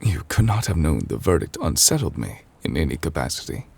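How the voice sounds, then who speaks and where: A man playing an ancient, weary chief judge in an intimate ASMR roleplay, formal and gentle, speaking in measured, hesitant phrases. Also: sing-song, full character voice. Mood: gently curious. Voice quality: husky, breathy low voice. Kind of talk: intimate ASMR audio roleplay, slow dramatic monologue.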